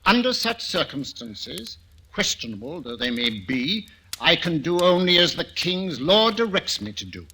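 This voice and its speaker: Formal hesitant judge, high bright voice, 1940s radio play. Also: male voice, very animated, slight background noise, heavily acted, poor audio. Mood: stern. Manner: formal, halting, pompous. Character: stern male judge of a crown court. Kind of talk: 1940s radio drama acting.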